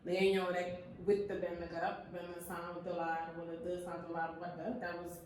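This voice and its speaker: A woman's voice: on the low side, completely flat delivery, moderate pace, darkish tone. A woman hosting an interview, retelling her guest's story back to him and asking probing follow-up questions. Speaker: interview host. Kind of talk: conversational interview. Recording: echoey room, mic at a distance, faint noise.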